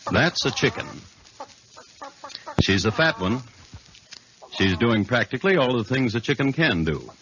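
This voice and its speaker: A man narrating a scripted 1950s radio crime drama in a deliberate, wry, theatrical storytelling manner. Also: fairly low pitch, bright, forceful tone. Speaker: narrator and host of a true crime radio series. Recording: muffled, noisy old 1950s radio recording.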